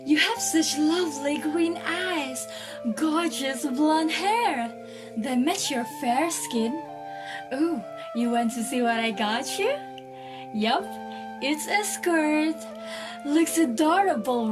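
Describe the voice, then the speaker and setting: A woman's voice: high-pitched, lively intonation, slow pace, over music. A woman playing a tender, dreamy young mother fussing over her child in a radio drama. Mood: adoring.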